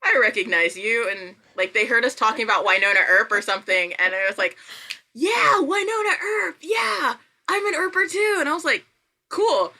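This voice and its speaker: A woman chatting casually as a podcast guest, telling self-deprecating stories and acting out her own thoughts in an animated way. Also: fairly high pitch, normal speed. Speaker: podcast guest, writer and creator. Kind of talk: casual chat, anecdotal storytelling.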